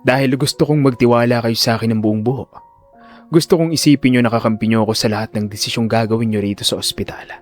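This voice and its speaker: A man playing a cold, calculating neurosurgeon in an audio drama, speaking with controlled, deliberate politeness. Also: medium pitch, steady tone, soft background music, voice pushed down, natural voice. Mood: cold, reassuring. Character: cold, calculating male neurosurgeon secretly bent on revenge.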